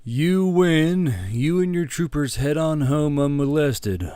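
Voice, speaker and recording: A man's voice: fairly high pitch, slow, warm tone, clean tone, no echo, pitched above the performer's own voice. Man as a weary, grim troop commander. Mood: defiant.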